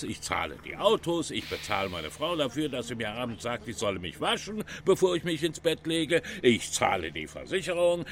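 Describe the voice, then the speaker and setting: A man's voice: medium pitch, vocal fry, a bit bright, slight background noise, heavily acted. An actor playing an indignant, put-upon middle-aged engineer and father in a German radio play, grumbling and blustering. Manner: blustering, complaining.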